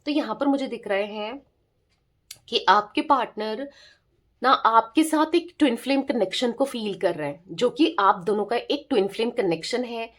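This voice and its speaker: A woman with a sore, hoarse throat giving a casual tarot reading, chatting with listeners and often checking in with them. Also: fairly high pitch, moderate pace, fairly bright tone. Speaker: tarot reader.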